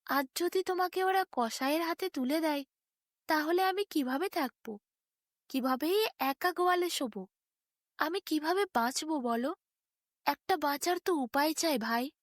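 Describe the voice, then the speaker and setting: A woman's voice: sits high, animated, unhurried, dry recording, studio quality, voice pushed up. A woman voicing a sly donkey in a bedtime story, confiding in a plaintive way with feigned sorrow.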